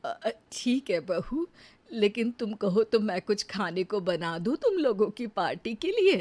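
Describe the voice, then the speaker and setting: A woman doing a soft, slow old village mother. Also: medium pitch, lively intonation, no echo. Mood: humble. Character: gentle elderly village mother-in-law, humble. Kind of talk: storybook cartoon voicing.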